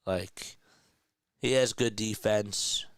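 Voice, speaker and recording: A man calling a basketball game live, play by play, sounding a bit raspy and nasal as he gets over a sore throat, chatting casually between plays. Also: on the low side, fairly even intonation, very slow, dry recording, clean studio sound. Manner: conversational, reads and answers chat comments. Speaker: play-by-play commentator.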